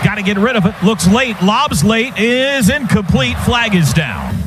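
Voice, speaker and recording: A man calling American football play-by-play on radio in clipped, rapid, excitable phrases. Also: high voice, over music. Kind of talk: live sports commentary. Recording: outdoor stadium radio booth, clear background noise.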